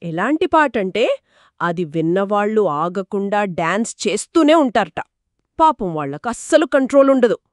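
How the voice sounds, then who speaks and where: A woman co-narrating a folktale for children in a conversational, expressive way, speaking directly to young listeners. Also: mid-range voice, moderate pace, no echo, clean studio sound. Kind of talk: conversational two-person storytelling for children.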